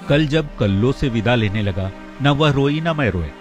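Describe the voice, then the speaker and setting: A man's voice: mid-range voice, animated, measured pace, natural voice. Actor as sorrowful male poet over music. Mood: wistful, tender. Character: tender, sorrowful poet reading his own diary. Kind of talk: dramatized diary reading in a documentary.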